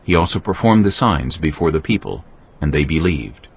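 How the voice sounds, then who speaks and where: Casting: man to narrate scripture, deep and husky with expressive melody, slightly dull recording. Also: normal speed. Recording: muffled, lo-fi.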